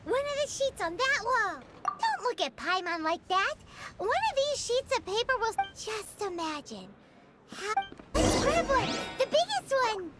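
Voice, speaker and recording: An actress voicing a tiny, childlike, excitable and greedy fairy companion in a video game, with a squeaky, exclamatory delivery. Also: female voice, unhurried, loud music behind the voice, heavily acted. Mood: eager. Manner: squeaky, exclamatory, refers to herself by name.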